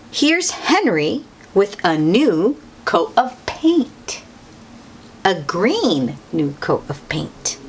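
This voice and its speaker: Woman reading slowly to young children, lively pitch. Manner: teacherly, repeats and emphasizes key words, exclaims and asks the child questions. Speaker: children's storybook read-aloud host. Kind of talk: read-aloud picture book for young children with asides to the listener.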